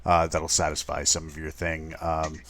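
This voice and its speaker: A man co-hosting a podcast, talking loudly with many hesitant fillers as he reads chat comments. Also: rumbling low, flat delivery, moderate pace, heavy background noise. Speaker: podcast co-host reading chat. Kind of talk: casual chat.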